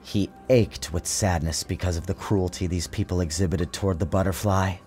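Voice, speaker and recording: A man narrating his own story as a dramatic storybook reading, in a theatrical, measured and expressive manner. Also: sits low. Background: music.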